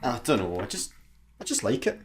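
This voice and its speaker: An actor playing a hapless, good-natured, sheepish satellite worker with a Scottish accent in a sci-fi comedy radio play. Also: male voice, mid-range voice, wildly swooping pitch, fairly quick, slightly rough, full character voice.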